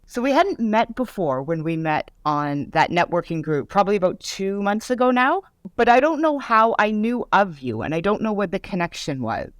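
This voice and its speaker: A woman's voice: mid-range voice, sing-song, moderate pace, few pauses, no echo. A middle-aged woman hosting a legal podcast, chatting enthusiastically with fillers as she interviews her guest. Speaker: podcast host, lawyer. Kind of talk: casual interview conversation.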